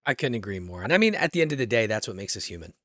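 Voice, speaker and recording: A man's voice: on the low side, very animated, racing, a bit bright, no room sound, studio quality. An American man hosting a podcast in a casual, chatty style. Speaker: podcast host. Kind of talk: casual chat.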